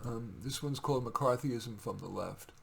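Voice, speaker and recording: A man with a mid-pitched voice presents poems he wrote himself. He declaims the verse with theatrical emphasis and speaks casually between pieces. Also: moderate pace, gravelly, breathy edge. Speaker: poet reading own work. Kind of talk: poetry reading with casual asides.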